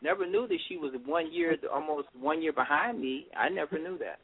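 Relaxed middle-aged man on a phone line. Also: a little high, fairly quick, creaky, husky. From Washington, D.C. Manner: relaxed, rambling, many fillers. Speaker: guest musician. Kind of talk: casual interview.

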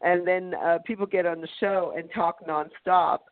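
A woman's voice: fairly low pitch, fairly even intonation, dry recording. A woman whose first language is German hosts a radio conversation, taking her time over each phrase. Her voice comes through a narrow, tinny phone line with a dry, close sound. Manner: slow, deliberate speech. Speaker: radio show host. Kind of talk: conversational interview. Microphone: phone call.

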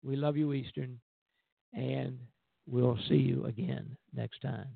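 An older man in his seventies or beyond hosting an informal radio show, reminiscing in a halting way. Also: medium pitch, steady tone, creaky, no room sound. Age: 70s or older. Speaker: radio show host, retired airline pilot. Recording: tinny, narrow phone-line sound.

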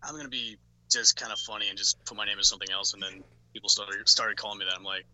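A man guesting on a sports podcast, chatting casually and telling quick stories. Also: fairly low pitch, steady tone, poor audio. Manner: quick, bright, chatty storyteller.

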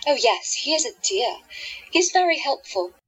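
A woman playing a sweetly manipulative hypnotist in a radio play, soft and musical, coaxing people to obey. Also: high voice, big pitch swings, moderate pace, poor audio. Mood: playful. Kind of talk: audio drama acting.

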